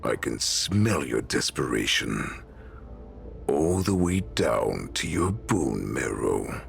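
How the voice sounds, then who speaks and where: Huge evil werewolf boss for a game, super deep gravelly growl, talks real slow, mocking. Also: male voice, sing-song, slight background noise, full character voice. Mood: cold contempt. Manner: slow, menacing, theatrical. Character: cruel, mocking werewolf-like Borisin warlord, huge male beast. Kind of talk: video game voice acting.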